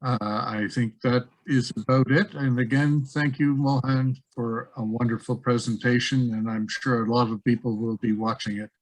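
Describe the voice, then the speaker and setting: A man's voice: mid-range voice, steady tone, moderate pace, hardly any pauses, no room sound, poor audio. A man hosting a webinar, handing over and closing with thanks on a video call, plain and hesitant. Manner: plain, with restarts and fillers. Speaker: organizer and host of the webinar.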